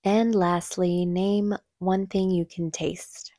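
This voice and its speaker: Woman, meditation guide, slightly low pitch, very slow pace, soothing and gentle, dry close sound. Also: level tone, no room sound. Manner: soothing, gentle, unhurried with soft breathy phrase endings. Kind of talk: calm guided meditation narration.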